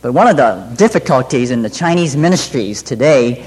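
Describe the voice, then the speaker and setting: A man's voice: medium pitch, lively intonation, moderate pace, a little mellow, husky. American guy minister chatting at a conference, some ums, echoey hall. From United States. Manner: conversational, with fillers. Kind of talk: conference talk. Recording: reverberant hall.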